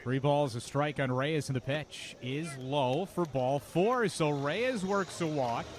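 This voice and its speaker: A man's voice: on the high side, slow. A man calling a baseball game live on radio as the play-by-play announcer, describing the action in detail. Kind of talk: live radio sports commentary. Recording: clear outdoor ambient noise behind. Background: outdoor ballpark ambience.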